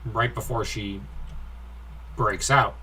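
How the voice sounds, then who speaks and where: Slow-talking man with a bright, critical edge. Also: lowish voice, steady tone, a little hiss or hum, rough recording. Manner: nitpicky, critical, sighing. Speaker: comic book reviewer. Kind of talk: informal comic review commentary with read-out dialogue.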